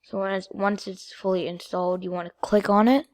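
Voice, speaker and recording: A female-sounding voice at a middling pitch walks through software steps. The delivery is informal and halting, in the manner of a homemade screen-recording tutorial. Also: steady tone. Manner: informal, halting step-by-step instructions. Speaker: video creator giving a tutorial.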